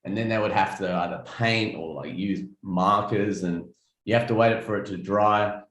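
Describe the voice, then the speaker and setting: A man's voice: on the low side, moderate pace, fairly bright tone, roomy sound. A man in his twenties with an Australian accent, a guest artist chatting casually in an interview, laid-back with many ums and restarts. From South Australia.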